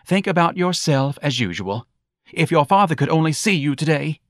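A man's voice: a little high, very animated, moderate pace, no echo, studio quality, voice pushed up. A man voicing a self-righteous, meddling wife who scolds and pleads theatrically, in an audiobook story. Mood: accusing.

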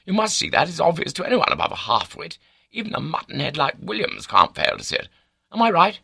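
A man's voice: lowish voice, sing-song, brisk, gravelly, sharp tone, husky, dry recording, clean studio sound, voice pushed up, full character voice. A man voicing an arrogant, indignant upper-class baronet in an audiobook, with a blustering, sneering and haughty manner. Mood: impatient, sarcastic. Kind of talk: audiobook character dialogue.

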